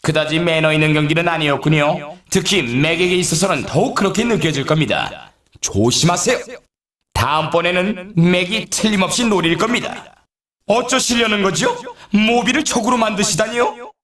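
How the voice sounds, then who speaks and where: A man playing an excitable, teasing snowboard race announcer in a video game, delivering dramatic mock warnings as scripted commentary. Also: high voice, moderate pace, roomy sound, far from the mic.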